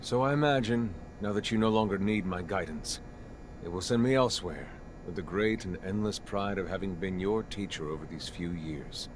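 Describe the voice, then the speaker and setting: A proud mentor figure, played by a man, with a low male voice and a formal, dignified delivery. It is dramatic video game dialogue with faint rumbling noise underneath. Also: moderate pace, lightly acted. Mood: proud, gracious. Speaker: voice actor in a role-playing video game.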